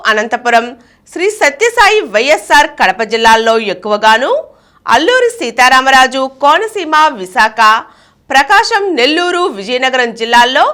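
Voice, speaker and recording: A woman's voice: sits high, pushed and bright. A woman reading a scripted news bulletin in a formal, emphatic way. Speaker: news reader.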